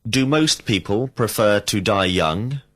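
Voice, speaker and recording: Slow, deliberate male English teacher. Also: fairly low pitch, expressive, a bit bright. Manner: slow, carefully enunciated prompts. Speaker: language teacher. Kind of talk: scripted drill questions for English learners.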